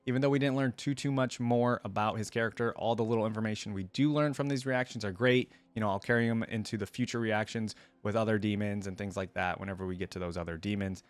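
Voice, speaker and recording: A man's voice: on the low side, fairly even intonation, fast talker, hardly any pauses, smooth, dry recording. A man hosting a reaction video, giving casual, unscripted commentary to viewers in a rambling, conversational way.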